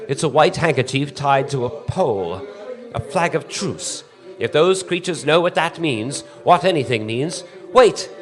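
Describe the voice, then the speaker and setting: Guy acting as frantic old-time radio reporter on the scene, high pitched, breathless, urgent eyewitness stuff. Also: close to an everyday voice. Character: frantic male radio field reporter, awestruck then terrified. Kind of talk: 1930s radio drama reporting.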